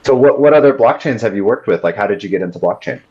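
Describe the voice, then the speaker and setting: Deep-voiced laid-back podcast host. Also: male voice, expressive, quick pace, a little mellow. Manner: laid-back, stammering restarts, "you know". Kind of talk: casual podcast interview. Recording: dull lo-fi sound.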